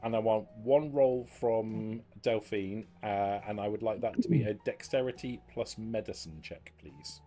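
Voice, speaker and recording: A man's voice: lowish voice, a little slow, few pauses, mellow, soft background music. A man acting as game master, conversationally checking players' plans and explaining the rules of a tabletop roleplaying game.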